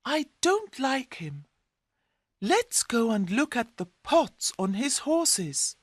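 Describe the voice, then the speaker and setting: Man putting on a high, sharp, bossy woman. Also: very animated, takes it slowly, no echo, exaggerated voice. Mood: suspicious. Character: sharp, suspicious, bossy woman in a fairy tale.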